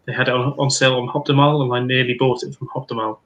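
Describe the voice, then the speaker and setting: Wry guy, bright and forceful voice, playful tone. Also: medium pitch, level tone, fast, dull audio. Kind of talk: casual chat on a video call.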